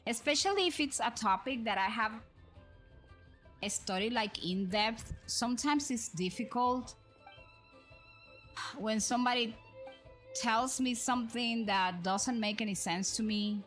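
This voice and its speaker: A woman's voice: on the high side, measured pace, pauses often, fairly bright tone. A woman hosting a livestream, thinking aloud in casual, chatty and rambling commentary as she plays a game. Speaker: livestream host. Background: background music.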